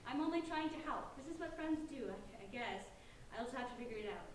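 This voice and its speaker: Echoey, high-pitched earnest teenage girl acted by a woman. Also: animated, fast talker, natural voice. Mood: hesitant, reluctant. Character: Sarah, earnest determined sixteen-year-old girl leading her friends. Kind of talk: staged reading of a play. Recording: distant echoey room, faint noise.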